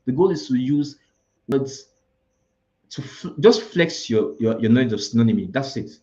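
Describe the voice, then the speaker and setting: A man's voice: mid-range voice, expressive, normal speed, warm tone, highs cut off. A man with a Nigerian accent, teaching an IELTS preparation class live, explaining scoring and listing word alternatives with frequent restarts. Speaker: IELTS tutor.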